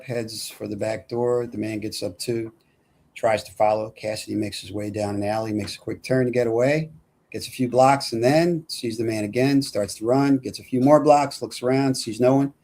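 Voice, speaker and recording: Mid-pitched man reading script narration. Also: fairly even intonation, moderate pace, mellow. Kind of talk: reading screenplay action lines at a table read.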